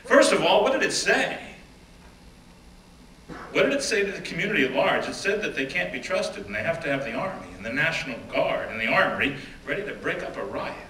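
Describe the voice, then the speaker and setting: A man giving a first-person dramatic reading of an oral history, measured and deliberate, often dropping to hushed phrases. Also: on the high side, very animated. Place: stage or event room. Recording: roomy, distant mic with faint background noise.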